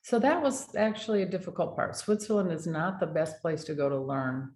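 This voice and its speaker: An older American woman, a dental hygienist, telling animated stories in a casual interview. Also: fairly low pitch, fairly quick. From United States. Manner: animated anecdotes with laughter. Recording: echoey room, faint noise.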